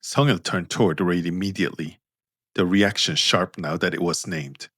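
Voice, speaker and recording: A man narrating scripted fiction in measured, deliberate phrases. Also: sits low, fairly even intonation, fairly bright tone, slightly rough, no echo. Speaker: narrator, author reading own story.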